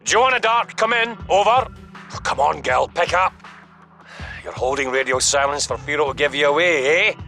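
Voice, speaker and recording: A man's voice: fairly high pitch, animated, normal speed, vocal fry, pushed and bright, soft background music, full character voice. A man playing a stern but concerned spymaster in a video game, giving earnest orders. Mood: insistent, grave. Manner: commanding, earnest. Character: stern, concerned male spymaster commanding by radio. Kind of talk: video game cutscene acting.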